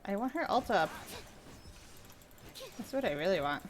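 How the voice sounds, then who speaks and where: Animated woman commenting on a video game. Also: medium pitch, normal speed. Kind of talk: casual gameplay commentary.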